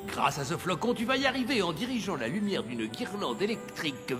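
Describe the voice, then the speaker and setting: A man's voice: high voice, sing-song, fairly quick. A man voicing a kindly old Father Christmas in a cartoon, hearty and grandfatherly. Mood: conspiratorial. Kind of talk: animated cartoon dubbing. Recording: music behind the voice.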